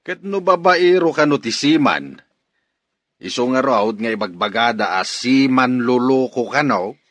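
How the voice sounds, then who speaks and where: A man's voice: medium pitch, animated, measured pace, a bit bright, no echo. An actor playing a distrustful, stubborn father in an Ilocano radio drama. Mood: suspicious. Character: distrustful, stubborn father wary of daughter's suitor.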